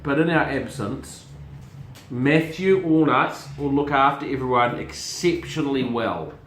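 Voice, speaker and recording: A man's voice: mid-range voice, unhurried, fairly bright tone, slight background noise. A middle-aged man, hosting a casual family chat show about horse racing, chatty and prone to repeating words while he searches for them. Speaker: racing show host and syndicate manager. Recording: roomy echo.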